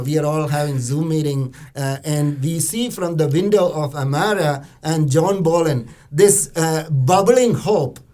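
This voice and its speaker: A man's voice: on the high side, mellow. A man hosting a conversational interview, talking in a discursive way with many a "you know". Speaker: host.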